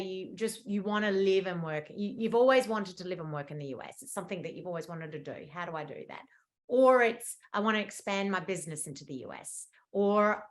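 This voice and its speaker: A woman in her forties from Australia with an Australian accent, giving a chatty webinar presentation over a video call with lots of fillers and quick restarts. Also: fairly low pitch, a bit bright. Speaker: immigration lawyer presenting at a webinar. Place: indoor room at home or office.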